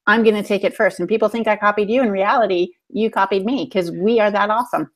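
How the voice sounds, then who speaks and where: A woman's voice: mid-range voice, steady tone, quick pace. A woman bantering as a panelist on a casual writing podcast, playful and sarcastic in her manner. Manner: playful, mock-offended sarcasm.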